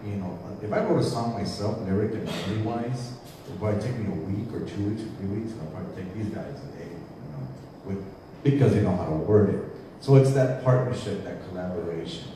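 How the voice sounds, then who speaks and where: Man, lowish voice, casual rambling panel talk, bits of Spanish, far from mic, noisy hall. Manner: rambling and conversational, slips into Spanish phrases. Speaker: panelist at a music workshop. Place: large hall before an audience.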